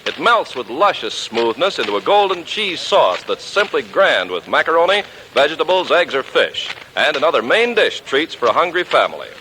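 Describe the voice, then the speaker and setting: A man's voice: fairly high pitch, big pitch swings, moderate pace, few pauses, bright, forceful tone. A man with an American accent reading scripted 1940s radio announcements in a polished, emphatic way. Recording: noisy 1940s radio recording.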